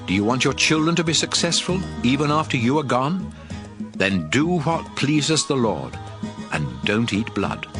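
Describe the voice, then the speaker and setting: Guy playing a solemn bible prophet laying down the law, lively pitch swings, music behind him. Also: slightly rough, no echo. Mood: exhorting. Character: male prophet lawgiver solemnly addressing his people. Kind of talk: dramatized scripture reading.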